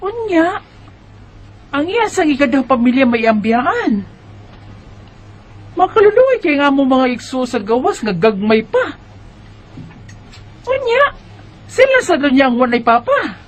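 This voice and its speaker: A woman's voice: high voice, very animated, measured pace, pauses often. A woman with a Cebuano Filipino accent playing a hurt but caring young daughter in a Bisaya radio drama. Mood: troubled.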